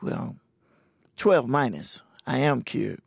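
An older man in his sixties talks with a deeply gravelly, husky voice. His delivery is plain and halting, unhurried as he tells his own story. The sound is dry and close. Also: animated, no echo. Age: 60s. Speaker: interviewee. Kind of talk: recorded personal conversation. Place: indoors at a cultural center.

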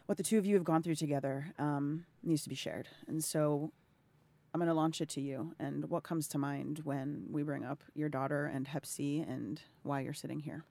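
A woman's voice: low-pitched, dark tone. Effusive woman in her thirties. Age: 30s. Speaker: interviewer and health advocate. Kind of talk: heartfelt personal conversation.

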